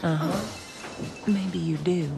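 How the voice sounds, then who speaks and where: Tough sassy gang lady, slangy, lowish voice w lots of fry, radio play. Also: slow, heavily acted. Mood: suspicious, tough. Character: sassy, tough, streetwise American gangster woman. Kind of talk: radio drama acting. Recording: radio play with music underneath.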